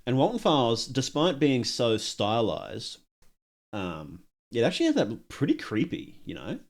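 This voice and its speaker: A man's voice: medium pitch, wildly swooping pitch, unhurried. A man giving casual live-stream commentary as he reacts to videos at home, rambling and self-mocking and laughing at his own jokes.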